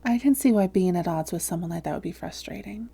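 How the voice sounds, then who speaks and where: Woman playing a mellow, soothing vampire therapist. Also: lowish voice. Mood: calm, probing. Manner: measured, gentle, reassuring, breathy hushed phrases. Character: calm, soothing female vampire posing as a therapist. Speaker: voice actress in roleplay audio. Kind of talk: soft-spoken roleplay audio, therapist addressing listener.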